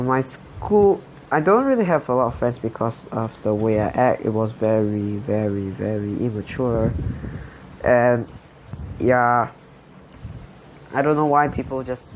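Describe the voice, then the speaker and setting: Slow, halting teen guy. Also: mid-range voice, a little hiss or hum, rough recording. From Singapore. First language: Chinese. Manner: hesitant, halting, many fillers and restarts. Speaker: channel host. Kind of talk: personal life story voice-over.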